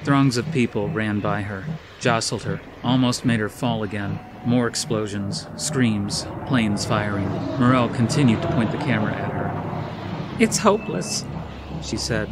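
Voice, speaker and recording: A man's voice: on the low side, steady tone, measured pace, very noisy. A man reading a dramatic story as scripted audiobook narration.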